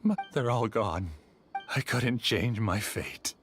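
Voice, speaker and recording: A man's voice: lowish voice, takes it slowly, a bit bright. A man voicing a despairing, bitter scholar in a video game, with dramatic, anguished delivery. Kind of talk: video game character acting. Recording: background music.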